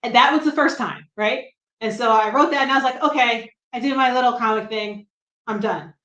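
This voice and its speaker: A middle-aged woman from New Jersey with a light Brooklyn accent, a comic writer chatting as a guest, self-deprecating and quick to laugh. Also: fairly high pitch, fairly bright tone, lo-fi sound. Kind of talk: casual interview chat. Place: room at home. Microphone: video call. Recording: roomy echo on a video call.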